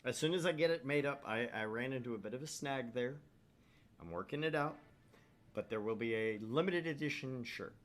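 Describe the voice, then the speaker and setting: A man hosting a gaming livestream, chatting casually and plugging things in a rambling, halting way. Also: mid-range voice. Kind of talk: casual stream chat and promotion. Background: background music.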